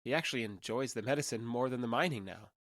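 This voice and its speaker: A man's voice: mid-range voice, fast talker, a bit bright, no echo, lightly acted. Candid, awkward young alien guy, played by a man, dry sound. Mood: matter-of-fact. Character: awkward, candid young male alien trader passing as human. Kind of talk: audio drama dialogue.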